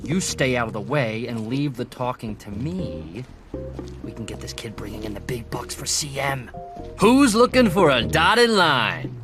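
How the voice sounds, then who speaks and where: A man's voice: medium pitch, natural voice. An actor playing a slick, condescending male corporate sponsor in a video game, talking like a bullying salesman. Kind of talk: video game cutscene acting.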